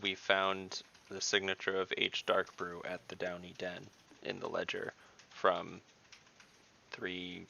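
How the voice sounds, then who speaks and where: Low, gravelly man playing a tabletop game. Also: monotone, takes it slowly, pushed and bright, a little hiss or hum. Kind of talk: improvised in-game talk. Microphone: online voice call.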